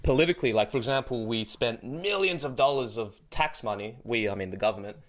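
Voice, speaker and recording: A man's voice: medium pitch, lively intonation, a little fast. Casual young Australian man, slangy podcast host. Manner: informal, slangy, sweary. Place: small home studio room. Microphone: close studio microphone, headphones.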